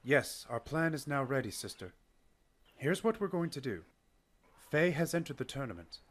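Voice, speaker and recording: A man performs a courteous, composed mentor figure, a doctor in a radio play. The pitch is middling for a man and moves expressively across a wide range. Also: close to an everyday voice. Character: courteous, measured doctor, wise planner and mentor.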